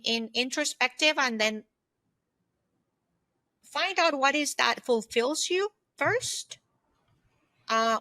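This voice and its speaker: Female, 50s, interview guest. Bright, forward voice, slightly high, dry close sound, earnest explainer. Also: no echo. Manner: earnest, explanatory, often checks in with "right?". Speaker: podcast guest, consultant and former aerospace executive. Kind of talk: conversational interview answers.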